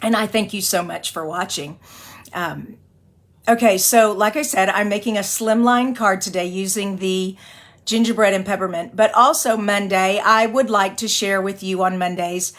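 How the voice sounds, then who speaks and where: A woman from Texas hosting a live card-making tutorial, her voice a little raspy from singing and allergies, who rambles, apologizes often and laughs easily. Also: medium pitch, moderate pace, fairly bright tone. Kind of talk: live-stream craft tutorial, chatty.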